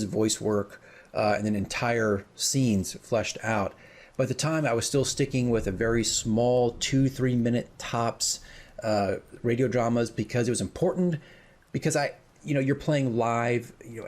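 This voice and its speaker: A man hosting casual, rambling commentary as a dungeon master, informal and self-deprecating, with a husky voice. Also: mid-range voice, a little mellow. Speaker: host, dungeon master, radio drama creator.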